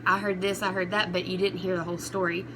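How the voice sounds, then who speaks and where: A woman talking casually and unscripted to her viewers as an online commentary host, in a halting, rambling, conversational way. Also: lowish voice, flat delivery. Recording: clear background noise throughout.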